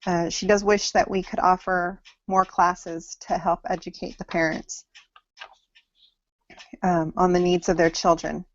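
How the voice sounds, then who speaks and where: A woman in her thirties from Samoa and Hawaii gives a class presentation over a video call, speaking very slowly and stopping often mid-sentence. Her voice sits a little low, and the sound is dull and lo-fi. It is dry, with no room echo. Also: little pitch movement. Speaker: student presenting to an online class.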